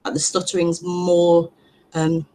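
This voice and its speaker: A woman with a stutter, hosting an online meeting and giving a gentle, reflective spiritual talk with frequent repetitions and blocks. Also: on the low side, completely flat delivery, a little slow, poor audio. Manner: gentle, reflective, laughs lightly at herself. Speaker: non-duality teacher hosting an online meeting. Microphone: online call broadcast.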